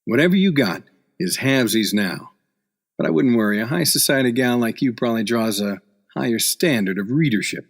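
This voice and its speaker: A man's voice: medium pitch, expressive, a little fast, creaky. A man playing a cocky, wisecracking hard-boiled newspaper reporter in an old-time radio play, full of sardonic slang. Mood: wisecracking, breezy.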